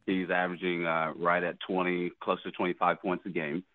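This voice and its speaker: A man giving team news in a steady, informative way. His voice is very deep. It is heard through a narrow, tinny phone line. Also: brisk. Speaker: sports team representative. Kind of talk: casual radio chat.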